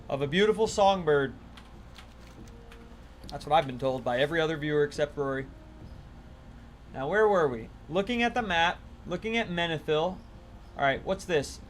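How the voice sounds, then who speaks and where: A man's voice: on the high side, a bit bright, a little hiss or hum. A man casually chatting on a gaming livestream, playful and talkative, thanking viewers as he plays. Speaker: livestream gamer.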